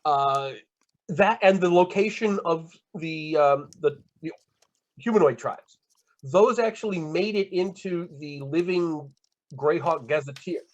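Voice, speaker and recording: A man in his fifties, a guest author, explaining lore in a measured, hesitant way with many fillers. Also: a little high, dull audio. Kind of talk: casual chat, explaining lore.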